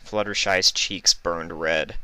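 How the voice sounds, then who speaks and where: A man's voice: low-pitched, fairly even intonation, takes it slowly, bright, forceful tone, smooth, dry recording. A man reading a story aloud as scripted narration. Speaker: fan fiction reader.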